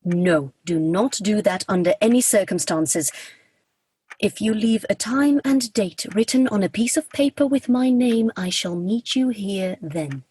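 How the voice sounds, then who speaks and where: Woman as a very husky, formal Grim Reaper. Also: mid-range voice, a little mellow. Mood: reserved, earnest. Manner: stiff, formal, measured diction. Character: Death the Grim Reaper, formal, literal, reserved and solemn. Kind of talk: radio play acting.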